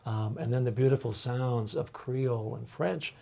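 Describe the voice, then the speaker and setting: Older man panel guest, mellow tone, reflective and halting, lots of ums. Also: mid-range voice, vocal fry. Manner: thoughtful, halting, many ums and uhs. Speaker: panel guest, college faculty member.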